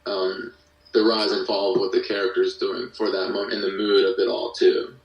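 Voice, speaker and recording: Lowish, even-voiced man in an echoey room. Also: fairly quick, muffled recording, slight background noise. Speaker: podcast guest, musician and audio drama creator. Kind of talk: casual interview chat. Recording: echoey room, distant from mic.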